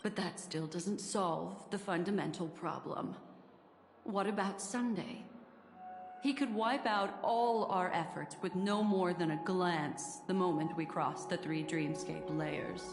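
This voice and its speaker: A woman playing a poised, enigmatic memory keeper in a video game, measured and skeptical in her delivery. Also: on the low side. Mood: doubtful, measured. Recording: echoey reverberant hall, clear music behind.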